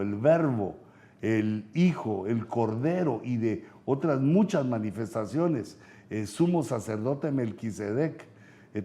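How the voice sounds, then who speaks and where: A man preaching a sermon, going up in pitch when he quotes scripture, with frequent "eh" fillers. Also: mellow. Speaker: pastor. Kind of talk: sermon Bible teaching.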